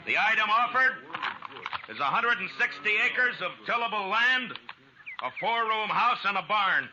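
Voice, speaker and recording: An actor playing a wry, resolute frontier marshal in a 1950s radio western, speaking deliberately and with dry sarcasm. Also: male voice, high voice, animated. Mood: firm, calling bids. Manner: deliberate, dryly sardonic. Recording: dull, hissy old radio recording.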